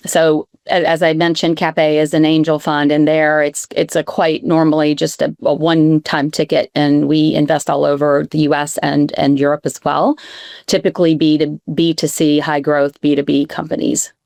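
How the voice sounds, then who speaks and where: New york woman, low smooth voice, easygoing investor on a panel. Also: measured pace, no room sound, clean studio sound. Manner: relaxed, laughs often, light fillers. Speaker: venture investor. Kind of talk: panel introduction.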